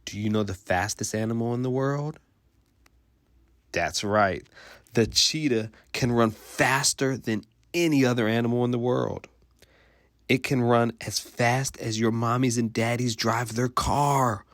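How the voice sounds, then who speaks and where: Slow-paced man reading aloud to children. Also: medium pitch, fairly even intonation, no room sound, studio quality. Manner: simple, explanatory, addressing children with questions. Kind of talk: children's picture book read-aloud with simple asides.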